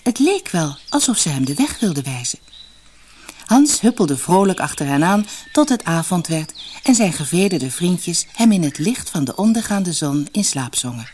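Low-pitched, animated woman storyteller. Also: slight background noise. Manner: expressive, dramatic storytelling. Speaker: audiobook narrator. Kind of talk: scripted fairy-tale narration.

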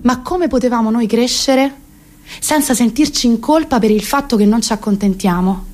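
A woman in her late twenties speaks in a fairly high voice with a Roman accent. She delivers a comic theatrical monologue in colloquial language, sarcastic and emphatic, throwing rhetorical questions as she goes. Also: moderate pace, darkish tone. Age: late 20s. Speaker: actress performing her own comic monologue.

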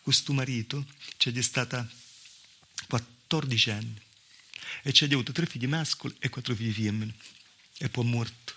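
A man's voice: medium pitch, normal speed, long pauses, close to an everyday voice. A male stage actor playing a weary, mistreated southern Italian village wife, telling the story in a colloquial, confiding monologue with a Calabrian accent. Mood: rueful recounting. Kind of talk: theatrical monologue in dialect.

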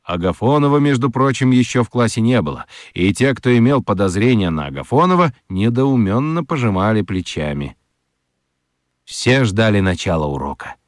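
A man narrating a children's radio drama as an expressive storyteller, reading scripted literary prose. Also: fairly low pitch, normal speed, no room sound, clean studio sound.

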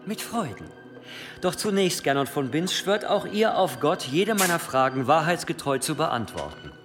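A medieval church prosecutor in a radio drama, formal and insinuating. His fairly high voice rises and falls a lot in a reverberant hall, pressing smoothly. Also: sing-song, normal speed. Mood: smooth, insistent. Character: shrewd, insinuating medieval cathedral provost prosecuting a bishop. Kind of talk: radio play acting. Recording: long hall reverb, faint music.

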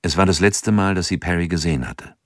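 Deep-voiced male narrator. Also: dry recording, studio quality. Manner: measured, grave. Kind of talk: scripted narration.

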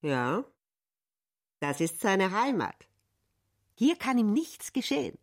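An actress playing a deliberate voice of someone's thoughts in a radio play. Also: female voice, medium pitch, very animated, full character voice. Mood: reassuring.

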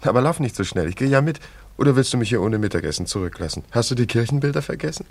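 An actor in a radio drama playing a young travelling painter, friendly, teasing and coaxing. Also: male voice, mid-range voice, animated, racing, dry recording. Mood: teasing, tender. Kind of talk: German radio drama acting.

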